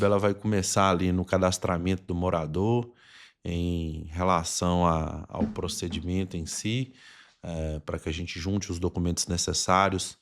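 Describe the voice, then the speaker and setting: A man with a Brazilian accent hosting a podcast, explaining things in formal, wordy phrasing. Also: low voice. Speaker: podcast host.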